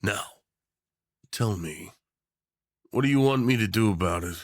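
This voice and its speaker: A man's voice: on the low side, expressive, measured pace, no echo, studio quality, voice pushed down. A man playing a doting, unhinged rich tyrant in an obsessive romance roleplay, theatrical and saccharine, teasing with pet names. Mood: smug, amused. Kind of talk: yandere ASMR roleplay acting.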